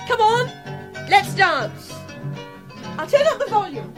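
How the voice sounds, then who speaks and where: An actress playing a spirited young art student held captive, frank and bossy, in a radio drama. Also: female voice, very high voice, sing-song, takes it slowly, music in the background, exaggerated voice. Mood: playful, commanding.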